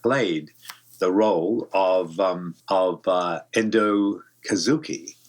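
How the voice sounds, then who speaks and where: Low-voiced New Zealand man in his seventies. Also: slow pace. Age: 70s. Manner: rambling, thoughtful, with many restarts. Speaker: guest voice actor. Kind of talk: casual interview. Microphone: home studio mic.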